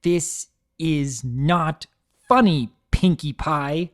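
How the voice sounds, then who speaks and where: A woman's voice: low voice, extremely slow, voice pushed up. A woman voicing a fussy young female unicorn who is indignant and stresses every word. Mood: furious.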